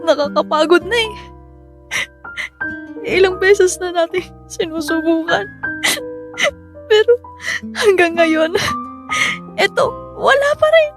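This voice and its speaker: A wistful young Filipino wife in a radio drama has a high, plaintive voice. She is emotive and easily discouraged, with clear music running beneath her. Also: lively intonation, slow pace, long pauses, exaggerated voice. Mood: discouraged, frustrated. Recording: music bed under the voice.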